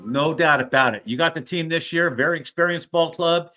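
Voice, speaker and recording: A man's voice: a little high, a little fast, bright, forceful tone, muffled recording. An American man hosting a sports show, talking conversationally as an interviewer.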